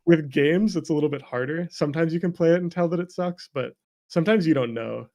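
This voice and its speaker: A man's voice: fairly high pitch, fast talker, vocal fry, darkish tone. A man talking casually as an interview guest about his work as a game developer, rambling and joking at his own expense. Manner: rambling, self-deprecating, jokey. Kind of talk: casual chat.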